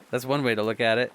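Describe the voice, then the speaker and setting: A man's voice: mid-range voice, gravelly, a bit bright. A young man playing an earnest, bookish, smitten teenage boy in an audio drama, playfully pedantic and slightly hesitant.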